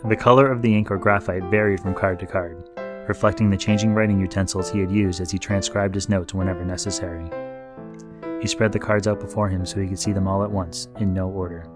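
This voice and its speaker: A man with a very low voice narrates fiction from a script, as in an audiobook. Music with guitar and piano plays clearly behind his reading. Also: fairly even intonation, brisk.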